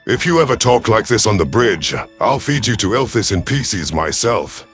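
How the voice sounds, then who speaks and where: Man voicing a low, stern alien commander. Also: big pitch swings, a bit bright, soft background music. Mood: exasperated. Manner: formal, measured, menacing. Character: stern alien reptilian commander, seasoned veteran duelist.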